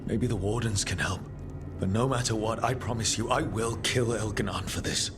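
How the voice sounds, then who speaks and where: Male game lead, played by an actor: devoted leader, earnest, fairly low register, cinematic score behind. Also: moderate pace, music in the background, lightly acted. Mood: tender, resolute. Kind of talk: dramatic video game cutscene acting.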